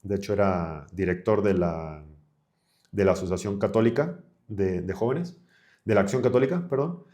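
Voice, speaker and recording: A man's voice: deep voice, moderate pace, darkish tone. A man of thirty-five from Chile, a priest talking colloquially as a podcast guest. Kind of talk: conversational podcast interview.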